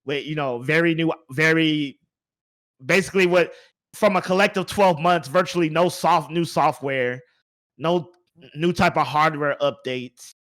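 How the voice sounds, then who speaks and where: A man's voice: fairly high pitch, measured pace, fairly bright tone. A man hosting a casual video game podcast, thinking aloud in a rambling way and repeating his words. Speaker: podcast host.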